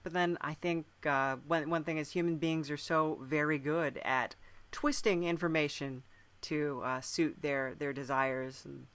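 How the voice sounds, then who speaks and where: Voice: mid-range voice, fairly even intonation, unhurried, a bit bright, clean tone. A podcast host in casual interview conversation, speaking thoughtfully with hesitant phrasing.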